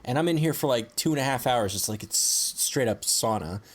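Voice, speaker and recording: A man's voice: mid-range voice, lively intonation, moderate pace, breathy edge. A young man co-hosting a podcast from his city apartment, laid-back, swearing and full of fillers. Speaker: podcast co-host. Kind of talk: casual chat.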